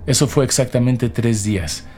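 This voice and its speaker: A man playing a nervous, grieving politician under questioning in an audio drama, speaking haltingly with pauses. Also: mid-range voice, a little mellow, faint music behind, natural voice. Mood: grieving, shaken. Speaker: actor in a detective audio drama. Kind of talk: dramatic podcast acting.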